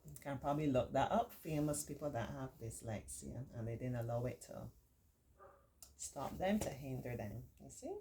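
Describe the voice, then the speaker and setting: Middle-aged female storytime tutor, deep voice, reassuring and encouraging, stretches key words while reading and explaining to children and parents. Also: lively intonation, moderate pace, mellow, slight background noise. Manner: encouraging, reassuring, repeats points for emphasis. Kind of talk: read-aloud story with warm, informal teaching asides.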